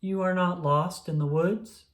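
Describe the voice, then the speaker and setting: A reader voicing a fretful, excitable male toad, an anxious and loyal friend, in a children's storybook. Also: mid-range voice, measured pace, lightly acted. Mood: puzzled. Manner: worried, exclamatory. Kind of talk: children's storybook read-aloud.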